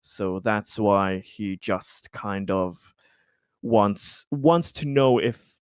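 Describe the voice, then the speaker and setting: A man playing a tabletop roleplaying game, explaining his character's thinking in a casual, deliberate, wordy way. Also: on the low side, clean tone, no echo, clean studio sound. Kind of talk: casual in-game discussion, describing his character in third person.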